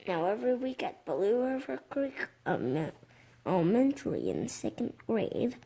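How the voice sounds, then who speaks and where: A six-year-old child reading a picture book aloud, haltingly, with stumbles over words. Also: mid-range voice, sing-song, gravelly, mellow. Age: young child, six. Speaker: reader.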